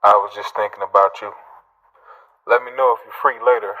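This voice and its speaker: A man playing a casual, flirty lover leaving a low-key voicemail in an audio drama. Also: steady tone, brisk, pushed and bright, lo-fi sound. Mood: casual, inviting. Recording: music bed behind the voice.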